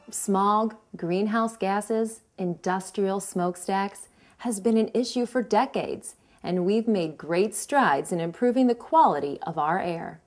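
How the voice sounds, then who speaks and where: Woman in her thirties, scripted presenter, clear and measured, earnest, mid-pitched voice, studio set. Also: darkish tone. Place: indoor studio set of a living room.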